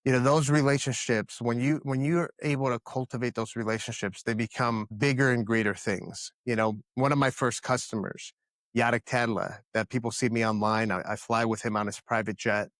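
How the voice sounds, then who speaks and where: A man in his thirties from the Dominican Republic speaks as a podcast guest, earnest and emphatic, doubling back on words as he builds each point. His pitch sits in an ordinary middle range. The sound is close and dry, with no room around it. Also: fairly even intonation, normal speed, fairly bright tone, dry recording. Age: 30s. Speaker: podcast guest, company founder. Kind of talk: casual interview answers.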